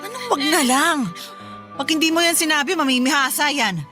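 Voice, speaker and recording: Feisty, protective elder-sister role, high-pitched, confrontational, radio drama over music and crowd bed. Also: female voice, a little fast, bright, forceful tone. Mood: indignant. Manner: scolding, confrontational. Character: feisty protective older sister, young girl, quick-tempered.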